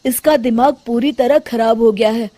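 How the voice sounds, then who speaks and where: A woman's voice: a little high, fairly even intonation, normal speed, background noise, natural voice. A woman voicing a harried, henpecked young husband in a cartoon story, talking in a flustered, placating way. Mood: worried. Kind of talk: Hindi cartoon story voice acting.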